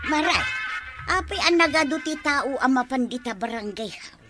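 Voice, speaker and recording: A woman plays a nagging elderly Ilocano villager in a comedy drama. Her fretful voice is extremely high and piercingly bright, with faint music behind it. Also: animated, normal speed, exaggerated voice. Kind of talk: broad barangay comedy acting.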